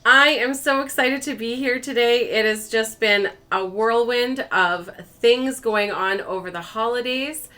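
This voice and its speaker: A woman vlog host telling personal stories in a casual, animated way, acting out bits of dialogue as she goes. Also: on the high side, unhurried, few pauses.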